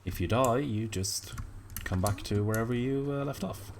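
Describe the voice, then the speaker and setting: A man live-streaming a video game at his home computer, chatting casually and reading viewer messages aloud in a soft, friendly way. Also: medium pitch, normal speed, a little hiss or hum. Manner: soft-spoken, reads viewer chat aloud and thanks viewers warmly. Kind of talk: casual live-stream chat while gaming.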